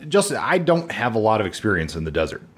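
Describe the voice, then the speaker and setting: An American man with a low voice co-hosts a discussion show. His delivery is conversational and thoughtful, with phrasing he works out as he goes. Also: big pitch swings, fast, a bit bright. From United States, from Seattle and the Midwest. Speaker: podcast co-host.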